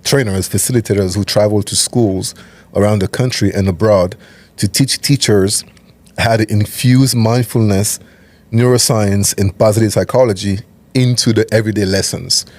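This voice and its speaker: Calm man, lowish voice. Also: moderate pace. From Cameroon. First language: French. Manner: calm, methodical, explains step by step. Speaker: guest, nonprofit CEO. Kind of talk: interview conversation.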